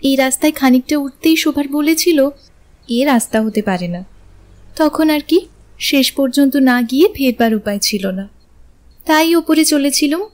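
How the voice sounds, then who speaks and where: An actress playing a gracious, self-possessed young woman in an audio drama, with a smooth, rounded voice and polite manner. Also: high-pitched, lively intonation, normal speed, a little mellow. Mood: light, explaining. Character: poised, gracious, lightly amused young woman. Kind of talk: Bengali audio drama acting.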